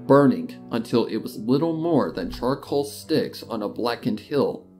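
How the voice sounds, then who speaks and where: A woman with a low voice reads a dramatic story. It is scripted narration, with guitar music playing faintly behind her. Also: animated, measured pace, dark tone. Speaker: story reader.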